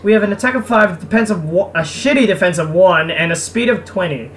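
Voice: mid-range voice, brisk, fairly bright tone, slight background noise. A gamer giving casual gameplay commentary in a sarcastic, foul-mouthed, grumbling way. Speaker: let's player. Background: game music.

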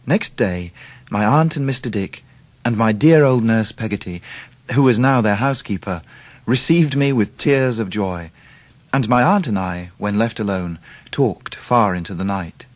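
A man's voice: on the low side, expressive, unhurried, dry recording. An actor playing a reflective, melancholy grown man, narrating his own life in a radio drama. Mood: calm. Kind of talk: dramatised first-person narration.

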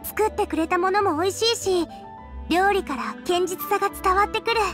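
Here is a bubbly, eager young girl from an anime fantasy game. Her voice is extremely high and chirpy, with casual, emphatic delivery. Music plays clearly behind her. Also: moderate pace, fairly bright tone. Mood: excited. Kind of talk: Japanese anime game voice acting.

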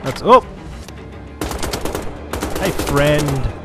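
A man's voice: a little high, lively intonation, very slow. A chatty man from Cork with an Irish accent, giving casual commentary on a shooter game and swearing now and then. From Cork, Ireland. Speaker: gaming channel host. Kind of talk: casual first-person shooter walkthrough commentary. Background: game music and gunfire.

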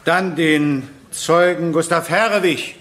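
A presiding judge in a courtroom radio drama. The man speaks in a fairly high voice with a composed, formal and businesslike manner. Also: slow pace, a bit bright.

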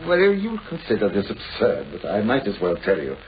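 Radio play novelist: British, fussy, anxious, formal and hesitant, with very lively intonation, on a dull, hissy old recording. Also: male voice, on the low side, normal speed. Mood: defensive, uneasy. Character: anxious, mannered male novelist, fussy and self-absorbed.

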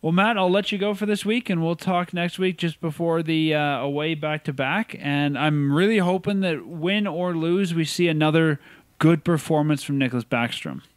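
A man's voice: a little high, moderate pace, no echo. A man hosting a casual sports podcast, chatting in an upbeat way about hockey. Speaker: podcast host.

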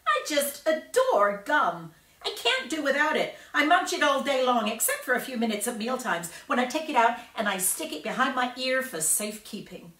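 Woman doing a brash gum-chewing girl, loud and boastful, pitched up high, roomy distant sound. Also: sing-song, exaggerated voice. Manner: shouting, fast and boastful. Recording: roomy echo, distant from mic.

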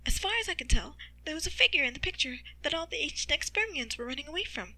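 Lady voicing a storybook animal mom, scholarly, unsure, high bright voice. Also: very animated, quick pace, exaggerated voice, low-quality recording. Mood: uncertain recollecting. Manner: tentative, considered. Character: thoughtful mother animal, a scholarly document restorer.

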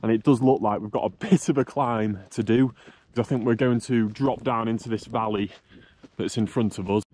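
A man's voice: on the low side, fairly even intonation, normal speed, warm tone. A young man giving casual vlog commentary as a hiker, speaking into a camera held at arm's length on an open mountainside. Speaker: hiking vlogger. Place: open snowy mountainside outdoors. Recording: clear wind and outdoor noise.

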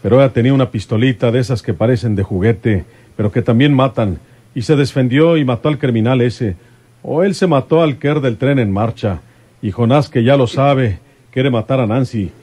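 Radio serial: male actor as vengeful rural Mexican lawman, deferential but stubborn, countryside speech, soft-edged warm tone. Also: mid-range voice, normal speed, husky, natural voice. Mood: indignant. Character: plain-spoken, vengeful rural detective from the countryside. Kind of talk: radio drama acting.

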